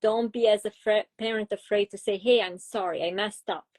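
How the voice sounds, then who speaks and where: A woman's voice: mid-range voice, moderate pace, darkish tone. Romanian-accented woman explaining patiently. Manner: explanatory, uses examples, frequent fillers. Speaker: child psychologist guest. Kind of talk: interview conversation.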